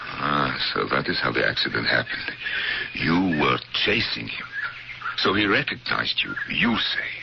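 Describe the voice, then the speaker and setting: A man acting in an old-time radio drama as a gentle, cautious older professor, with a measured, pedantic, coaxing way of talking. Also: very deep voice, very animated, gravelly, exaggerated voice. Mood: gently doubting. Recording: noisy, dull old radio broadcast recording.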